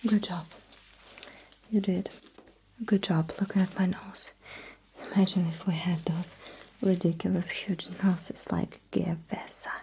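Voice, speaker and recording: A woman playing a calm, attentive alien battlesuit technician in a hushed, breathy ASMR sci-fi roleplay, giving slow and deliberate instructions. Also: lowish voice, a little hiss or hum, low-quality recording. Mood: softly encouraging.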